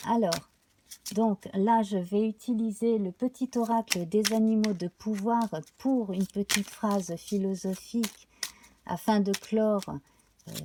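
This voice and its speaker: Slow, slightly hoarse woman. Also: medium pitch, background noise. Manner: slow, halting, many fillers. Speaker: tarot reader. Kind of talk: live tarot card reading.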